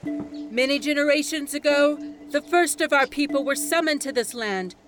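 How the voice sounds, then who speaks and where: Old, wise orator, played by an actor, ceremonial and measured, reciting myth over music in an audio drama. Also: high voice, natural voice. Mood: solemn, reverent. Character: old wise village orator telling sacred origin myth. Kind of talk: solemn theatrical storytelling in audio drama.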